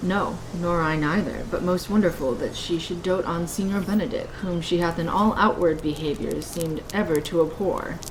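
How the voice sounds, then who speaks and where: A woman plays an old nobleman in Shakespearean radio theatre, a solemn father who loves to gossip. The voice sits rather low for a woman. The home recording carries slight noise. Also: normal speed, hardly any pauses, lightly acted. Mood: feigned amazement.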